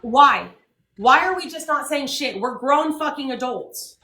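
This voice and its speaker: A young woman with a high, bright voice rants heatedly and swears freely. She hammers her points home on a livestream. The voice rings in an echoey room. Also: expressive, measured pace. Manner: profane, ranting, emphatic. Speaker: livestream host.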